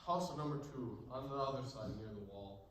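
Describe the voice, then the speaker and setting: A man's voice: mid-range voice, normal speed, close to an everyday voice. A young man acting in a one-act stage play as a brave, shy, earnest teenage boy prisoner, speaking hesitantly. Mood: eager, explaining. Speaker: amateur stage actor. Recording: echoey stage, distant mic, faint noise.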